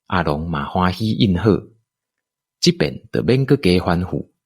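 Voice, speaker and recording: A man with a Taiwanese accent narrating a scripted radio drama in expressive storytelling style. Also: low-pitched, a little slow, no echo.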